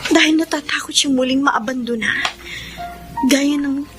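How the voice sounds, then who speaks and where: Radio drama mother, voiced by a woman: higher-pitched, tender, earnest, reassuring, over soft music. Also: steady tone, normal speed, lightly acted. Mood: shocked, moved. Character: loving, reassuring adoptive mother.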